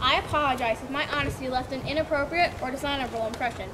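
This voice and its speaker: A woman reading the part of a proud, sharp-tongued lady with a servant, speaking in a blunt, cutting way. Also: high-pitched, rapid-fire, background noise, natural voice. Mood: subdued. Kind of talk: stage play reading.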